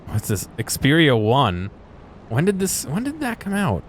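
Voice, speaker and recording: A man's voice: on the high side, wildly swooping pitch, measured pace, slight background noise. A man giving casual, unscripted commentary while exploring a game, musing and thinking aloud as he goes. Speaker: gameplay video host.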